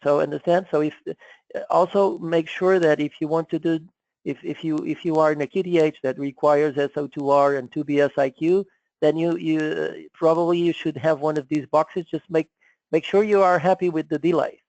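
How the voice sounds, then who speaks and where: A man giving an informal technical explanation as a guest presenter, halting and often repeating words, over dull online call audio. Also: on the high side, fairly even intonation, a little mellow, no room sound.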